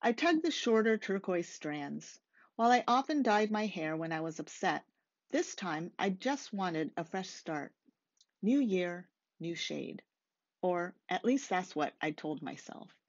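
A middle-aged woman reading a first-person novel aloud in a measured way, recorded indoors on a webcam. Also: lowish voice, lively intonation. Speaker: author reading from own novel. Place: small room, indoors. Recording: slightly dull webcam audio.

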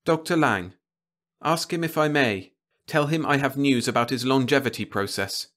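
A man's voice: medium pitch, moderate pace, a bit bright, pitched above the performer's own voice, natural voice. A man voicing an earnest, determined male news operator who talks in an urgent, insistent way. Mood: tense.

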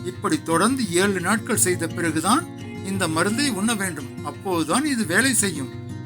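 A man voicing an elderly, calm and sly holy man who speaks in formal, measured instruction. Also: high-pitched, over music. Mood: calm, instructive.

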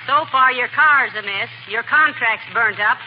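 An actress playing a wry, long-suffering wife with a dry, teasing manner in 1940s radio comedy. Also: female voice, a little high, measured pace, close to an everyday voice. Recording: muffled, noisy old radio recording.

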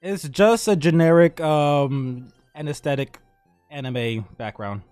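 A man's voice: fairly high pitch, slow pace, darkish tone, clear, resonant tone, no room sound. A young man hosting a casual live stream, bantering and cursing as he stumbles over his words. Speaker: streamer, host. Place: indoor home streaming room. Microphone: streaming mic. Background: music.

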